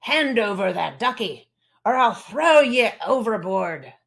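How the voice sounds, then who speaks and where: A woman playing a gruff, threatening pirate captain with pirate talk, in a storybook. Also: a little high, very animated, a little slow, fairly bright tone, exaggerated voice.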